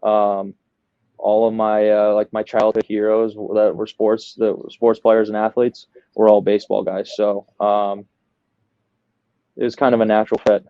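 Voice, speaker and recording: A man's voice: lowish voice, level tone, moderate pace, clear, resonant tone, dry recording, lo-fi sound. A young man from outside Chicago with a Midwestern American accent, talking casually and self-deprecatingly as a podcast guest. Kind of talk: casual interview talk.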